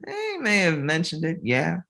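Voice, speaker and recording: A man's voice: a little high, wildly swooping pitch, unhurried, creaky, sharp tone, heavily acted. A man reading the part of a mild, conciliatory young man, polite and placating, at a comedy table read.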